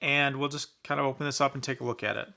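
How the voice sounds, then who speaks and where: A man's voice: medium pitch, steady tone, fast talker, creaky, background noise. A man giving casual, conversational commentary as he reviews a product in an unboxing. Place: indoors in a basement.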